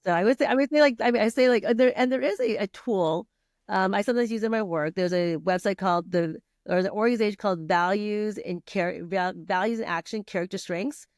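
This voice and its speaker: A woman's voice: medium pitch, brisk, no echo, clean studio sound. A middle-aged woman from Vietnam, guest on a podcast, talking casually with many fillers, restarts and laughs. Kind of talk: casual conversational interview.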